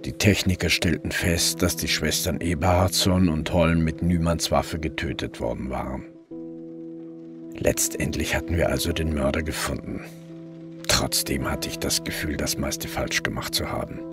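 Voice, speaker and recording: A very deep-voiced, weary police detective narrates a crime radio play. He is measured, reflective and sombre, with music playing underneath. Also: fairly even intonation. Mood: sombre, self-critical.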